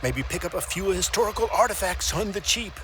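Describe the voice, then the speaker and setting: A scheming, dreamy custodian inventor from a children's puppet show, acted by a man. The voice is high and swings widely, with stammering, theatrical flourishes. Faint noise sits behind it. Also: lively intonation, a little fast. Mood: gleeful, boastful.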